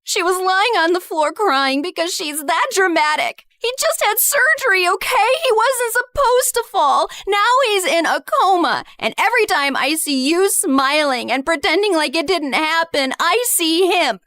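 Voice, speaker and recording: Woman voicing a spiteful young woman, very high, sharp and bright, sneering, cruel, storytelling. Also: animated, measured pace, hardly any pauses, no room sound, clean studio sound, full character voice. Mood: bitter. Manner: sneering, venomous, accusing.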